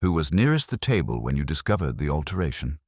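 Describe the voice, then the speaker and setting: Man as measured police inspector, very low husky voice, gentle probing manner, mystery story. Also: big pitch swings, no echo, studio quality, exaggerated voice. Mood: calm, probing. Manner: gentle, deliberate questioning.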